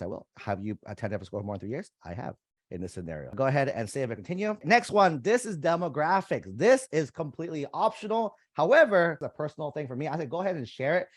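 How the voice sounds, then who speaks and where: A man's voice: medium pitch, no echo. A young man whose first language is Vietnamese talks through an application form in a loose, conversational way, calling his viewers "you guys." His mid-range voice sits close to the mic in a dry, dead room. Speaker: college admissions coach. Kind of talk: screen-share walkthrough tutorial.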